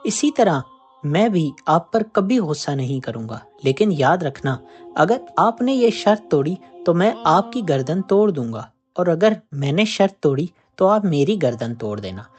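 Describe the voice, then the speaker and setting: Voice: mid-range voice, big pitch swings, moderate pace, darkish tone, faint music behind, lightly acted. A storyteller voicing a cheeky, foolish young servant, deferential yet sly, in a storybook tale. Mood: eager, sly.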